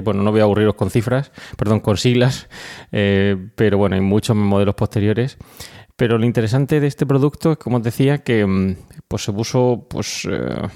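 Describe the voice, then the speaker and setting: A man's voice: fairly low pitch, dark tone. A man with a Spanish accent from Spain hosting a casual explanatory podcast in a conversational, hesitant way with frequent filler words. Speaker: podcast host.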